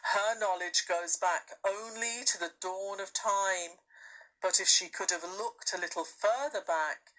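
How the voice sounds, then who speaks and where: Great lion, woman reader, storybook, majestic, solemn, measured, unhurried, bright tone. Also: mid-range voice, rough recording.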